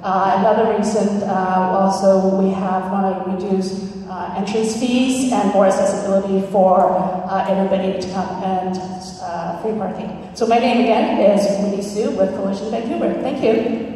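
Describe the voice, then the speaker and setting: A woman gives a candidate pitch, halting and full of fillers, her voice mellow and soft-edged. The sound is distant and drenched in the long echo of a large hall. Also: fairly even intonation, slight background noise. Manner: hesitant, many ums and uhs. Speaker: park board candidate. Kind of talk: candidate speech at a public meeting. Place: community centre hall. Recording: echoey hall from far off.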